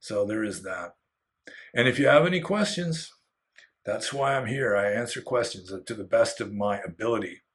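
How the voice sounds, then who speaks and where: Monk guy answering questions solo, lowish voice, going a bit hoarse, lots of um and restarts. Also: expressive, moderate pace. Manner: rambling, many fillers and restarts, candid opinions. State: getting hoarse.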